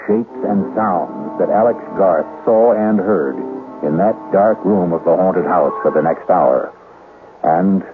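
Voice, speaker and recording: A man hosting and narrating a suspense radio drama in a measured, ominous, dramatic manner. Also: deep voice. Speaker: host and narrator. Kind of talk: scripted suspense narration for old-time radio. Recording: tinny old radio broadcast, music behind.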